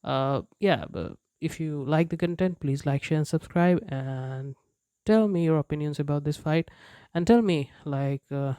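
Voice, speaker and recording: A man's voice: on the high side, unhurried, dark tone, clear, resonant tone, no echo. A young man who speaks English as a non-native language, rambling in a casual vlog with lots of fillers and restarts and frequent chuckles. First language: not English. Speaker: YouTuber. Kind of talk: casual unscripted vlog chat.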